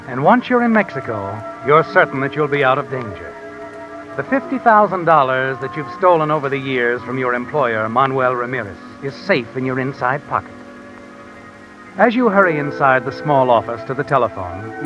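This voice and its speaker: Creepy old-radio narrator, talks right at you, bit high voice, dull lo-fi sound. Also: male voice. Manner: second-person, knowing, needling. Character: mysterious male narrator who taunts the listener. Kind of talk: 1950s radio drama narration. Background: dramatic music score.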